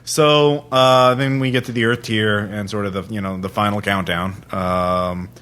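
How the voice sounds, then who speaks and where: A man's voice: on the low side, fairly even intonation, normal speed, a bit bright, clean tone. A man hosting a podcast, casually chatting and putting questions to his guest. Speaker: podcast host and game player.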